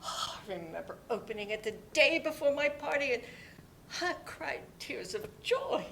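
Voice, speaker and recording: A sweet, kooky older neighbour lady, acted by a woman in a stage play reading. Her voice is very high and swoops expressively while she takes her time, fussing gently like a mother. There is faint noise behind her. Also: expressive, gravelly, heavily acted. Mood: fond reminiscing. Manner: slow, fussing, full of endearments. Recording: faint background noise and rumble.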